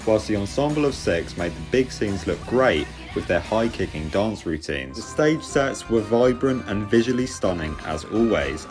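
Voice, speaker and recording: A British man giving a scripted theatre review as host, in a measured, articulate and opinionated way. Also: lowish voice. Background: music under the voice.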